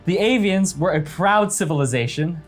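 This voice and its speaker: A man playing a tabletop game, improvising in-character speeches in a halting, theatrical way. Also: sits high, lively intonation, faint music behind.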